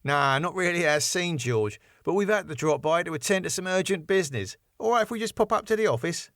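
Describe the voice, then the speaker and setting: A man voicing a breezy, cheeky, quick-thinking lad who bluffs in colloquial speech. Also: sits high, expressive, a bit bright, no echo, studio quality, voice pushed up.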